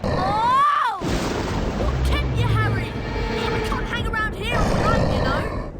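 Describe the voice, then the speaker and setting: Actor as young English boy, game wizard, very high pitch, animated swoops, anxious blurting. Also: slow, loud music behind the voice, full character voice. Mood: panicked. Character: plucky, excitable English schoolboy wizard. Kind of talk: video game cutscene acting.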